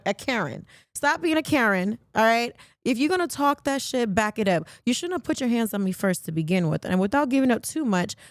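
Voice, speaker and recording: A woman's voice: on the high side, no echo, studio quality. A woman hosting a podcast with casual, gossipy talk in a brash, sassy, slangy manner. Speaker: podcast host.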